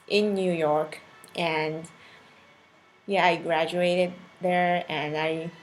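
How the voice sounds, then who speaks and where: A woman's voice: fairly low pitch, poor audio. A woman in her thirties from Japan with a Japanese accent, chatting casually with viewers on a live stream, full of "you know" fillers and laughing often. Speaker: cooking channel host on a live stream.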